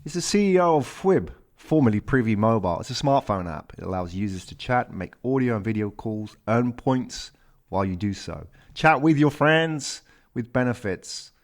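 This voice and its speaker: A man's voice: medium pitch, expressive, moderate pace. Male podcast host, friendly interviewer, leading questions. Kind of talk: interviewing an entrepreneur.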